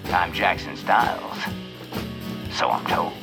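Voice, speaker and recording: A man's voice: deep voice, expressive, slow pace, vocal fry, over music, full character voice. An actor playing a folksy, theatrical male bird who announces on the radio in an audio fiction show. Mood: folksy, relieved. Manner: folksy, theatrical sign-off delivery.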